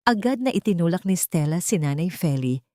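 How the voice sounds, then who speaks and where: Low-voiced woman telling a dark story. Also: no echo. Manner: calm, measured, solemn. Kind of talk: scripted dramatic audio story narration.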